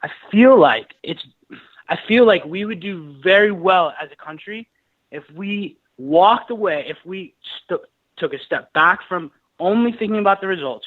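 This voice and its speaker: A man's voice: sits high, dry recording. Earnest thirtysomething male caller, phone audio. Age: 30s. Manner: earnest, many restarts and fillers. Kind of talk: call-in radio talk. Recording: dull phone-line call audio.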